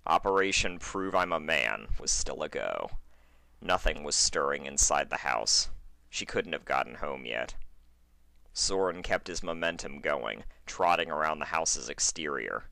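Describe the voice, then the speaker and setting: A man's voice: a bass voice, gravelly, sharp tone, no room sound. A man who reads fan fiction aloud, chatting to listeners and narrating stories expressively. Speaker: amateur fan fiction reader. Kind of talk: chatty intro, then expressive story narration.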